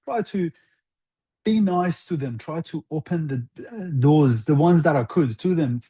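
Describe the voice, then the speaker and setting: A man giving an earnest interview as a guest, speaking deliberately and haltingly, repeating phrases for emphasis. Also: on the high side, lively intonation, dark tone, slightly rough. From outside Iran. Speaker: interview guest, former national football team interpreter.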